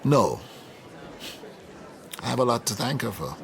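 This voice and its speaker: An actor playing a reflective older academic mentor in a radio drama, eloquent, measured and wry. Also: male voice, mid-range voice, big pitch swings, gravelly. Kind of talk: naturalistic radio drama dialogue.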